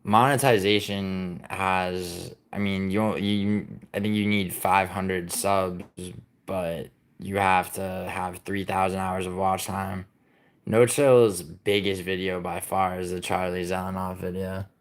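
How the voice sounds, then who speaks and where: A man with a very deep, low voice talks loosely on a live stream, answering his viewers as their messages come in. He rambles, swears freely and keeps restarting his sentences with "like" and "I mean". He was born in Italy and raised in New York. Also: level tone, takes it slowly. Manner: rambling and profane, with many "like" and "I mean" fillers and restarts. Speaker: livestreamer chatting with viewers.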